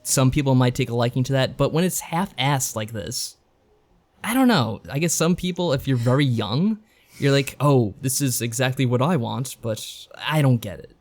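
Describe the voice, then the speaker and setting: A man's voice: medium pitch, animated, normal speed. A man co-hosting a podcast in casual review chat, with a wry, questioning, conversational manner. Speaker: podcast co-host. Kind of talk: casual review chat about visual novels.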